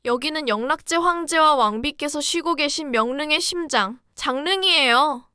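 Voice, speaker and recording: A woman's voice: high-pitched, racing, pushed and bright, no room sound, clean studio sound. A woman reading short scripted announcements.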